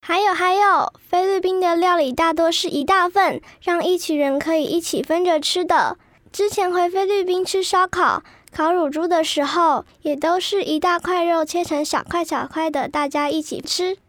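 A woman's voice: extremely high pitch, moderate pace, dry recording. A woman playing a chatty, cheerful schoolgirl in an educational radio drama for children. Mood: eager, chatty. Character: chatty cheerful Filipino-Taiwanese schoolgirl, proud of Philippine food.

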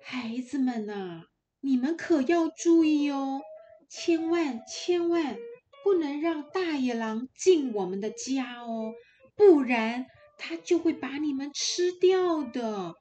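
A woman's voice: high-pitched, lively intonation, slow, voice pushed up. A woman doing a caring mother goat. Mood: caring warning. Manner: gentle, warning, emphatic. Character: loving, worried mother goat.

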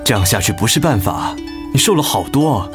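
Casting: actor as practical thirties husband, Taiwanese Mandarin, very expressive pitch, radio play with music underneath. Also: male voice, brisk, lightly acted. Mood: practical, pressing. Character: busy, practical husband and father, concerned but pressing. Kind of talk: dramatic radio play acting.

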